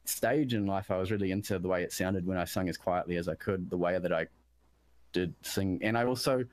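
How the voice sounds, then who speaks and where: A deep-voiced man, a musician guest from New Zealand, chatting casually about production and often restarting his sentences. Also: brisk, dry recording. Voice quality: deep bass voice. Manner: rambling, self-interrupting, searching for words.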